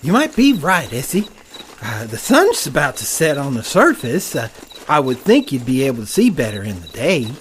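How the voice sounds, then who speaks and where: An actor playing a timid, bashful cowboy with a hesitant drawl in a western audio drama. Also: male voice, medium pitch, wildly swooping pitch, moderate pace, fairly bright tone, a little hiss or hum. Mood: nervous.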